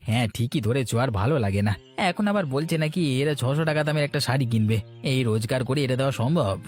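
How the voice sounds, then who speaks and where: Man acting a fast-talking, harried village peddler husband. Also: mid-range voice, animated, a little mellow, faint music behind, natural voice. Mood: exasperated. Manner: complaining, emphatic. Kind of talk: cartoon voice acting, folk-tale dialogue.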